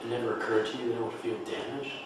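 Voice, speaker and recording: A man playing a blunt, hurt friend in a table read of a play, reproachful and deliberate. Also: medium pitch, level tone, close to an everyday voice. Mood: cold reproach. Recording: echoey room, far mic, clear noise.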